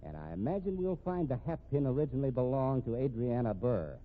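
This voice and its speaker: An actor playing a wry, dogged police captain with a folksy, probing manner in a 1950s radio detective drama. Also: male voice, medium pitch, very animated, fairly quick, narrow, tinny audio, slight background noise, lightly acted. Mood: stern, confident. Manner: folksy, hesitant probing with casual uh and hmm. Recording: thin, band-limited 1950s radio recording.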